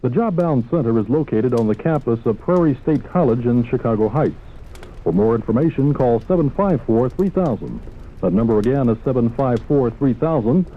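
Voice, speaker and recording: A man reading a scripted 1970s public service announcement on the radio. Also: mid-range voice. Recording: tinny old radio, faint background noise.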